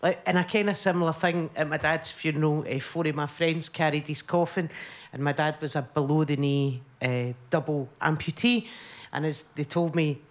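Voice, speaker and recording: A woman's voice: sits low, fairly even intonation, moderate pace. Chatty woman comedian telling a story. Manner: chatty anecdote teller with filler "eh"s. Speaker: comedian panellist. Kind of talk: comic panel storytelling.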